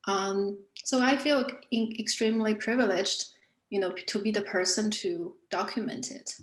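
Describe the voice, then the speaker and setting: A woman whose first language is Chinese speaks in a reflective online panel talk. She chooses her words slowly, with frequent fillers and self-corrections. Her voice sits at a middle pitch and carries a roomy echo. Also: steady tone. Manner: thoughtful, many fillers and restarts. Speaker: artist and author discussing her book.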